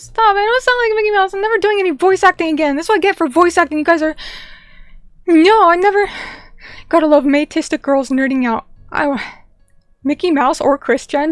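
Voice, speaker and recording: A woman's voice: extremely high pitch, no echo, clean studio sound. A young woman streamer chatting casually and reading viewer comments, bubbly and chatty, greeting people by name.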